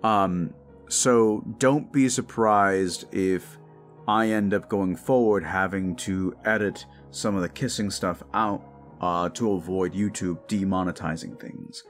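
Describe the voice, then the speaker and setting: A man's voice: low voice, slow, faint music behind. A man giving an informal, rambling outro to his listeners as the creator of an audio drama. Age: adult with young child. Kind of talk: casual outro chat to listeners.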